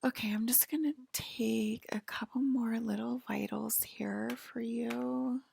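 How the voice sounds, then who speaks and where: A woman playing a female alien abductor in an ASMR roleplay, whispering in a breathy, halting, gently official clinical manner. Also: fairly high pitch, fairly even intonation, a little hiss or hum, heavily acted. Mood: calm, clinical.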